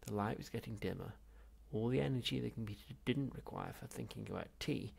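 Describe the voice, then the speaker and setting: A man reading a comic science fiction novel aloud as an expressive audiobook narrator, with a gravelly, husky voice. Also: fairly low pitch, brisk. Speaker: audiobook reader.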